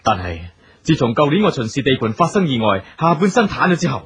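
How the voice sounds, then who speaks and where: An actor playing a domineering, suspicious company boss in a wheelchair, curt and scornful, with a Hong Kong Cantonese accent in a radio drama. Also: male voice, mid-range voice, big pitch swings, fast talker, husky. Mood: bitter, weary. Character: domineering, suspicious eldest brother, general manager in a wheelchair.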